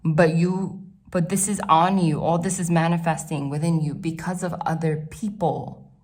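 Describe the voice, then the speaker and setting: A woman's voice: deep voice, steady tone, normal speed, darkish tone. A woman from the New Jersey and New York City area with a northeastern American accent, giving a chatty, rambling tarot reading to camera at home. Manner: chatty, rambling, frequent "like" and restarts. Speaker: tarot reader. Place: hot room at home.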